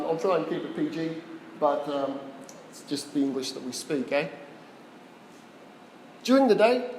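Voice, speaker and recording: A man's voice: a little high, a little mellow, slight background noise. Australian male presenter, chatty and casual, in a roomy hall with a long reverb tail. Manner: casual, chatty, asks the audience questions. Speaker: tech talk presenter. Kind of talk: live presentation to a small audience. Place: meeting room with an audience.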